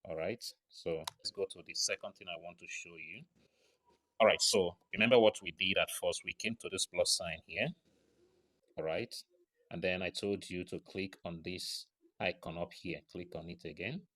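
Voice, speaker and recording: Low-voiced man giving a casual tutorial. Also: steady tone, normal speed, fairly bright tone. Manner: frequent check-in tags like right and okay. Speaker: tutorial presenter. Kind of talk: casual step-by-step screen tutorial narration.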